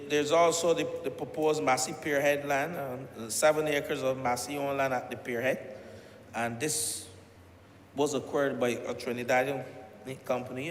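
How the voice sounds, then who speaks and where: A man with a Barbadian accent giving a deliberate parliamentary speech, stressing each site he names. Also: mid-range voice, a little hiss or hum. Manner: deliberate address with rising emphasis on listed sites. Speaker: member of parliament. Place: parliament chamber. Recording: echoey chamber with long reverb.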